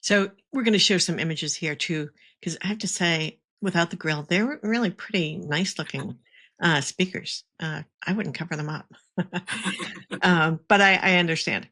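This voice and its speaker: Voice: medium pitch, moderate pace, sharp tone. An interviewer hosting a casual chat, asking questions and prompting the guest for explanations. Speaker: interviewer, host.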